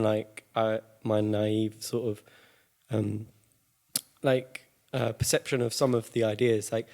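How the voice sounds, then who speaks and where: Hesitant man with a slow, creaky voice. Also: mid-range voice. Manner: hesitant, halting, searching for words. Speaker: panel participant. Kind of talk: informal spoken reflection at a public panel.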